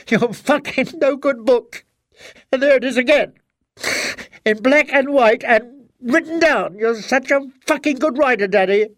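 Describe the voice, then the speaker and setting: Male reader as enraged young daughter, high and strained, gravelly, dry close read. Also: no echo. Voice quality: strained, accusing. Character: furious anguished young adult daughter. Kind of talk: audiobook character acting.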